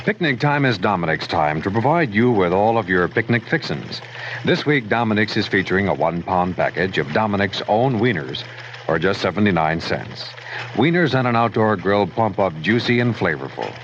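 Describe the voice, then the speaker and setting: A man with a low voice reads a scripted retail commercial as a station announcer. The old radio recording is dull, with faint noise. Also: very animated, normal speed, fairly bright tone. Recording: muffled, noisy old radio broadcast.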